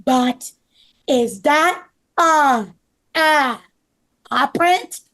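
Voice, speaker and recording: Woman playing an earnest, high-voiced male builder. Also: lively intonation, drawn-out words, a bit bright, full character voice. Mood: concerned. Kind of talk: table-read acting of a children's story.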